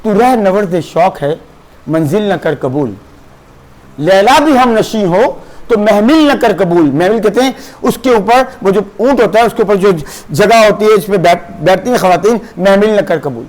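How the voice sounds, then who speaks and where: A man with a Pakistani accent teaching a classroom lecture, lively and conversational, switching between Urdu and English. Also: sits high, measured pace, poor audio. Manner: animated, switches between Urdu and English, acts out students' voices.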